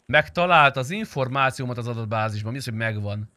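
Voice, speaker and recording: Young man, bright forceful voice, cheeky, joking, game roleplay chat, close dry mic. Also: mid-range voice, expressive, dry recording. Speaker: streamer playing a roleplay game. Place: indoor streaming room at home. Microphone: close streaming microphone.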